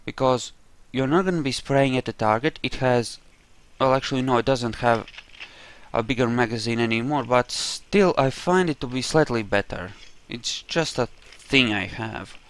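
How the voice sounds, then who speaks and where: A man's voice: mid-range voice, normal speed, fairly bright tone. A man giving casual let's play commentary on a roleplaying game, thinking aloud in a rambling way as he goes. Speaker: gamer narrating his own roleplay playthrough.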